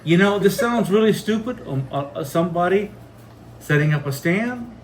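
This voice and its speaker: A man co-hosting a family vlog, telling stories in a casual, rambling and halting way. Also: sits high, animated. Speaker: co-host of a family homestead channel. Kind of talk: casual rambling storytelling and chat. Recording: faint background noise.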